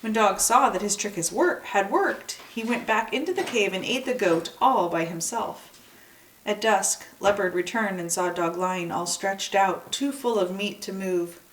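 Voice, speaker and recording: A woman reads a children's story aloud with expression, in a medium-pitched voice. The room is noticeably echoey and she sounds a little way from the mic. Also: a little slow, a little hiss or hum. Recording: echoey room, distant microphone.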